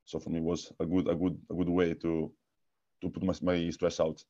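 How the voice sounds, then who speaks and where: Low-voiced Italian man, halting speech. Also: flat delivery, warm tone, lo-fi sound. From Italy. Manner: hesitant, many repeated words and restarts. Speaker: guest, futsal coach and technical director. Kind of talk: casual interview answers.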